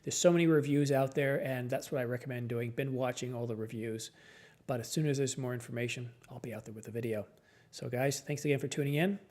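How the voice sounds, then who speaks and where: A man's voice: medium pitch, fairly even intonation, fast talker, darkish tone. A tired man hosting a late-night live stream, chatting casually and answering viewer comments as his voice starts to go.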